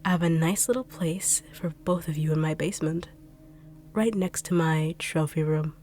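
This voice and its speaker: A woman voicing a smug, cruel female treasure hunter who taunts in slow, purring tones with whispered asides. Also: deep voice, steady tone, a little mellow, lightly acted. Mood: gloating. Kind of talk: audio roleplay drama.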